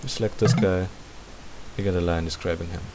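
A man's voice: sits low, fairly even intonation, a little fast. A man hosting a game tutorial, thinking aloud in a casual commentary as he works. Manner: thinking aloud while working. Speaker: tutorial host. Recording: faint background hiss.

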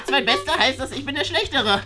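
A woman's voice: high-pitched, lively intonation, a little fast, noisy background. A woman voicing an anxious, vain top-student schoolboy who stammers and whines in a children's play story. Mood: shocked, upset. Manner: flustered, stammering, whiny. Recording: music behind the voice.

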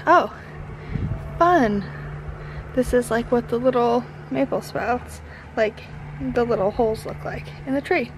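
A woman in her twenties giving casual, enthusiastic travel vlog narration full of exclamations. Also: fairly high pitch. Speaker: vlogger. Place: farm sugarhouse and outdoors. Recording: noisy on-location camera audio.

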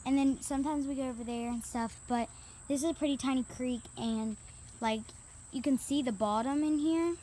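High-voiced rambling girl vlogger outdoors. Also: moderate pace, warm tone, slight background noise. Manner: rambling, filler-heavy, upbeat. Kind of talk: casual vlog chat. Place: outdoors by a small creek.

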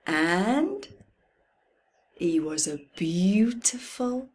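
A middle-aged woman reading a picture book to young children in an expressive, deliberate way, with dramatic pauses and hushed emphasis. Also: mid-range voice. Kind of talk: slow children's picture-book read-aloud. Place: indoors in a room at home.

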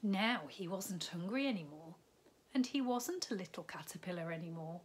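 A woman's voice: fairly low pitch, slight background noise. A woman, a primary school teacher reading a picture book aloud to children from her living room. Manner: slow, expressive storytime reading with lively rises on key words. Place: living room at home, indoors.

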